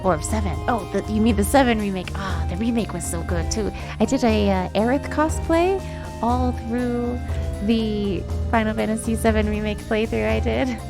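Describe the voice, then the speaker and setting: A woman livestreaming a video game, chatting casually with viewers and reacting in an animated, giggly way. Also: on the high side, normal speed, creaky. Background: video game music.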